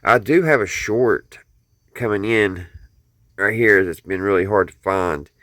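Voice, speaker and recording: A man's voice: on the low side, measured pace, a bit bright, dry recording, clean studio sound. An American man talking casually to viewers in a folksy, rambling show-and-tell, thinking aloud as he goes. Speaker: hobbyist video host.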